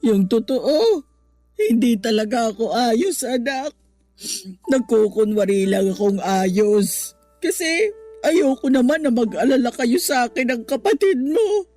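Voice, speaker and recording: A woman's voice: on the high side, animated, measured pace, dark tone, faint music behind. A woman with a Filipino accent acting a hurt, defensive middle-aged mother in a radio drama, wounded and plaintive. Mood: sad, tearful.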